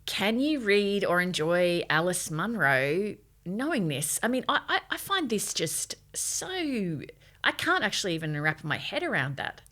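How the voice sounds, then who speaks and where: A woman's voice: mid-range voice, very animated, unhurried, a bit bright. A middle-aged woman co-hosting a podcast, talking in a serious, conversational way. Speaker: podcast co-host.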